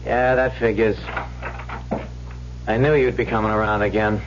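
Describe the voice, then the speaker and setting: An actor playing a smooth-talking young swindler in an old radio drama, hesitant and ingratiating, on a muffled recording. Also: male voice, fairly low pitch, fairly even intonation, moderate pace, slight background noise, close to an everyday voice. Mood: uneasy, scheming. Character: smooth-talking young male small-time swindler, feigning boyish shyness.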